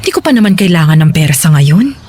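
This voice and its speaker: A woman's voice: deep voice, big pitch swings, quick pace, darkish tone, a little hiss or hum. An actress playing a kindly middle-aged landlady aunt in a Filipino radio drama, with a reassuring, motherly manner. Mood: reassuring, earnest. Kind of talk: Tagalog radio drama acting.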